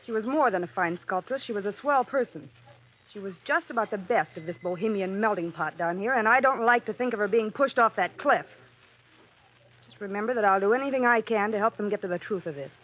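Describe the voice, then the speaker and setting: A woman's voice: mid-range voice, a little fast, fairly bright tone, natural voice. A woman as a blunt, wry bohemian friend. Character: blunt young woman friend, loyal and suspicious. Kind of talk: 1940s radio acting. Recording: dull old radio recording, faint hiss.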